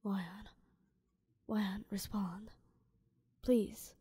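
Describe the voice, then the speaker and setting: Woman reading as a captive teen boy hero, hushed and pleading, Arabic-tinged accent, slow and dark. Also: medium pitch, fairly even intonation, slightly rough, dry recording, studio quality, full character voice. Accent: Arabic-tinged English. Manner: whispered, pleading. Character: hot-tempered teenage boy hero, captive.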